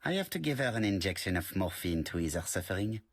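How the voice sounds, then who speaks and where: An actor playing a polite, precise middle-aged detective in a video game, speaking formally with a French accent. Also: male voice, sits low, sing-song, breathy edge, exaggerated voice. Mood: observant. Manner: formal, measured, courteous.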